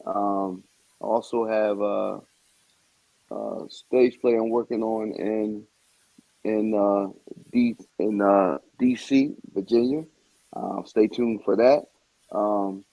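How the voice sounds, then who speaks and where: A comedian and actor talking about his upcoming shows on a video call, halting with many ums and uhs. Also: lowish voice, creaky, dark tone, no echo, poor audio. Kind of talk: casual video call interview.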